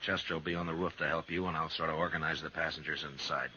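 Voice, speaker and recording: An actor playing a steady, authoritative American frontier marshal in 1950s radio western style, measured and terse. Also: male voice, rumbling low, heavily acted. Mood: businesslike. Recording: dull, noisy 1950s radio recording.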